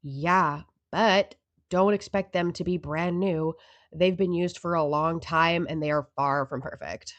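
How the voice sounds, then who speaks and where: A woman's voice: lowish voice, steady tone, measured pace, no room sound, close to an everyday voice. A woman playing a laid-back, indifferent young theater receptionist in an audio drama, with a casual, noncommittal way of talking. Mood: offhand.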